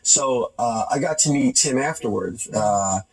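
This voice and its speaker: A man chatting casually as an interview guest, halting and often repeating his phrases. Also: medium pitch, expressive, breathy edge, poor audio. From Hoboken, New Jersey. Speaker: film producer, interview guest. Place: TV studio.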